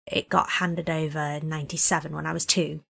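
Young woman chatting on a podcast, wry and thoughtful, laughs a lot, lowish voice. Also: level tone, a little fast, creaky, a bit bright, slightly rough. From Hong Kong. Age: 20s. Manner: articulate, wry, laughs often. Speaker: podcast guest. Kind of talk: casual interview chat.

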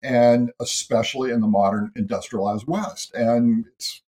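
A man's voice: medium pitch, fairly even intonation, moderate pace, a little mellow. A middle-aged man from the United States, a writer and health educator being interviewed on a podcast, explaining his ideas in a thoughtful way and often repeating words as he goes.

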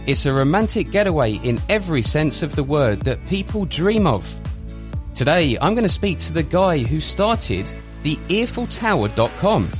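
A man's voice: on the high side, sing-song, normal speed, soft background music. A man hosting a podcast, reading a scripted intro, then asking friendly, somewhat hesitant questions. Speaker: podcast host. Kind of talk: podcast interview.

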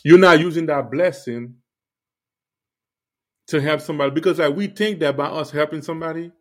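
A man's voice: a little high, moderate pace. A man born in Haiti hosting a faith podcast, talking straight to his listeners in an earnest, preachy way with many fillers. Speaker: podcast host. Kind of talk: casual faith podcast monologue.

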